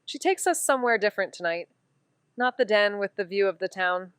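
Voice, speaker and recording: A woman's voice: mid-range voice, lively intonation, brisk, fairly bright tone, smooth, dry recording, close to an everyday voice. A woman playing an earnest amateur investigator in an audio drama, with a casual, thinking-aloud way of talking. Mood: reflective narration. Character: Amy, eager young woman amateur investigator who narrates. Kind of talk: audio drama dialogue and first-person narration.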